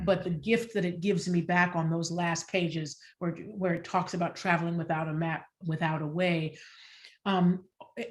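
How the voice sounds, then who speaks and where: Female theater director on a panel, lower register, thoughtful, feeling her way through each phrase. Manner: halting, searching, thoughtful phrasing. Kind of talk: reflective panel discussion.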